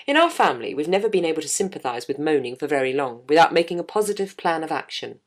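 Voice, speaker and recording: A middle-aged woman with a low voice, reading her own blog aloud in a wry, self-mocking way. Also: sing-song, quick pace. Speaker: jazz singer and podcast host.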